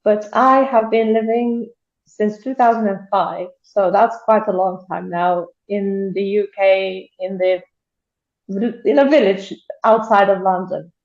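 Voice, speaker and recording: A woman's voice: mid-range voice, steady tone, a little slow, darkish tone, roomy sound, highs cut off. A woman from Bulgaria telling her story as a guest in a video call interview, chatty, with frequent laughs and restarted sentences.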